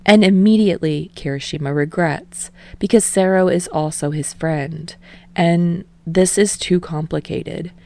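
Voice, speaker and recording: A woman reading fan fiction aloud in a calm, steady narration. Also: on the low side, measured pace, dry recording. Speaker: podfic reader. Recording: dry close home recording.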